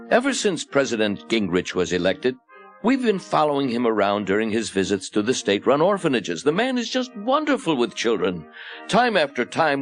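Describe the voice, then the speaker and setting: A man with an American accent narrating a satirical storybook in a mock-earnest, theatrical way. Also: medium pitch. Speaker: audiobook narrator.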